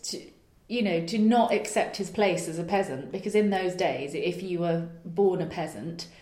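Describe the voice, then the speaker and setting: A woman's voice: on the low side, moderate pace, a little mellow, roomy sound. A woman playwright answering interview questions earnestly, searching for her words and laughing lightly now and then.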